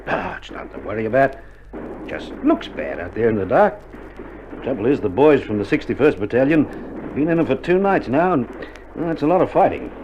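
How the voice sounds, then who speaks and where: An actor playing a reassuring Australian army doctor in a war radio drama, speaking in casual slang and playing down danger. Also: male voice, medium pitch, very animated, brisk, lightly acted. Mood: reassuring, offhand. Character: breezy, reassuring male army doctor in wartime field hospital. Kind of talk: 1960s radio drama acting. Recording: muffled old vinyl drama, loud battle noise.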